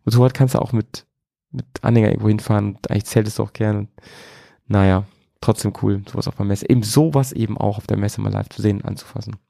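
Gravelly, low relaxed vlogger. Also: male voice, fast talker, no echo, studio quality. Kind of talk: casual vlog talk to viewers.

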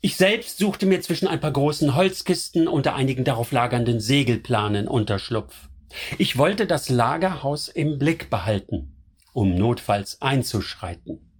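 A man voicing a devoted young man in a radio drama, narrating in a tender, confessional way over soft music. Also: medium pitch, lively intonation, moderate pace, husky. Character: young man secretly in love, anxious, devoted. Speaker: first-person narrator.

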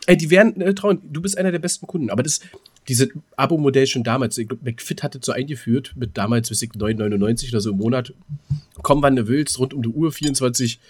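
A man co-hosts a podcast in a medium-pitched voice. He talks in loose, colloquial banter. His delivery is joking, with mock-formal asides thrown in. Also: quick pace, husky. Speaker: podcast co-host. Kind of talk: casual comedic banter.

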